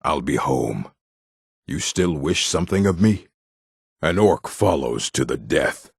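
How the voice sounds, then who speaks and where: An actor voicing a proud, gruff orc warrior in a fantasy video game, gravelly and husky, with a stern, blunt delivery. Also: male voice, a bass voice, lively intonation, a little slow, dry recording, studio quality, exaggerated voice. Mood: curt, stoic.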